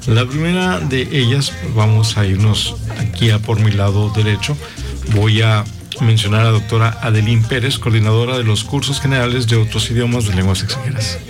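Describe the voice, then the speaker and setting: A man hosting a live university radio talk show, chatty and expressive, speaking in a radio studio. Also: on the low side, moderate pace, music in the background. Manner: warm, chatty, expressive, lively intonation. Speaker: radio host.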